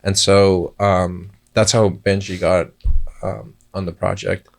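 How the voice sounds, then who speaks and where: A man with a low, level voice describes his crew work in a relaxed guest interview. He rambles and restarts as he explains, correcting himself along the way. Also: slow pace, gravelly. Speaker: film crew member, first camera assistant. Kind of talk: casual interview answers.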